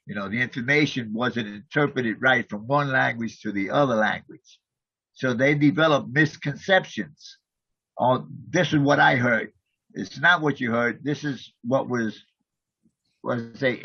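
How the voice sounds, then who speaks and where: A man's voice: mid-range voice, a little slow, vocal fry, pushed and bright, breathy edge, no echo, dull audio. A man working in community outreach, speaking on an online call. He explains at length, repeating words as he goes. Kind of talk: online group meeting.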